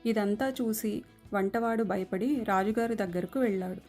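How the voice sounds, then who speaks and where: A woman's voice: mid-range voice, fairly even intonation, normal speed, darkish tone. Woman narrating a story over music. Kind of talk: scripted moral-story narration. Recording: music under the voice.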